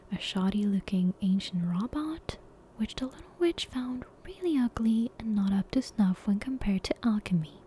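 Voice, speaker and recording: A woman whispers a bedtime story in a soft, breathy ASMR style. Her delivery is mellow and unhurried, hushed throughout. Faint ambient noise sits behind her. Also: mid-range voice. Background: soft game soundtrack ambience.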